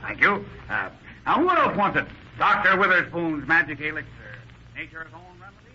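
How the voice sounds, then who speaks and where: An actor playing a medicine-show huckster, husky and declaiming his patter in a 1930s radio drama. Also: on the high side, big pitch swings, pushed and bright, a little hiss or hum, heavily acted. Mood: hawking, grandiose. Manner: declaiming, drawn-out salesman's patter. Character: brassy medicine-show huckster hawking an elixir. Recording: dull 1930s radio recording.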